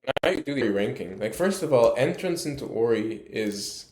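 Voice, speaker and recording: A man explaining his ideas in a casual discussion, halting and rephrasing emphatically as he goes. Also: medium pitch, animated, darkish tone, poor audio. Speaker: speaker explaining a research ranking system.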